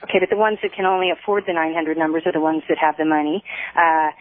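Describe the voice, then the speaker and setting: A woman phones into a talk show on a tinny, narrow line. Her voice is fairly low, and she argues her point in a halting, hesitant way. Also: fairly even intonation, a crowd in the background. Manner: hesitant, halting but argumentative. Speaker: radio show caller. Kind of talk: conversational phone-in debate. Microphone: telephone.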